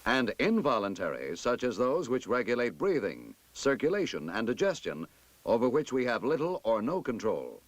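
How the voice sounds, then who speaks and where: A man narrating a scripted 1960s military instructional film in a formal, emphatic, measured manner. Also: mid-range voice, sing-song, no echo.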